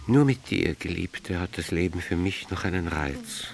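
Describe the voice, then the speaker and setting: A man playing a wry, cynical gentleman lover in a literary dialogue, with a dry, ironic manner. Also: sits low, lively intonation, moderate pace, gravelly, over music.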